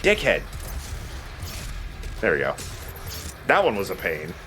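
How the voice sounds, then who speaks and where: Male let's player, lowish voice, casual and jokey with some swearing, faint noise behind him. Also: huge pitch swings, takes it slowly, bright, forceful tone. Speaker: let's play host playing the game. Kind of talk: casual gameplay commentary. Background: video game sound.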